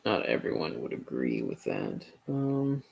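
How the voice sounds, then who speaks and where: A man's voice: rumbling low, wildly swooping pitch, a little slow, creaky, a little mellow. A man reading a philosophical essay aloud as a streamer, with frequent hesitations and off-the-cuff asides.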